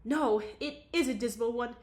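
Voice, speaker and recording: A woman reading aloud, voicing an emotional young society woman with a high, excitable voice. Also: moderate pace, close to an everyday voice. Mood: agitated.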